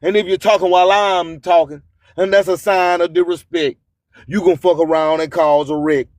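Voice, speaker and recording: A man's voice: sits high, fairly even intonation, moderate pace, a little mellow, no echo. A man hosting a radio show, delivering a declamatory, rhyming spoken-word monologue with repeated phrases.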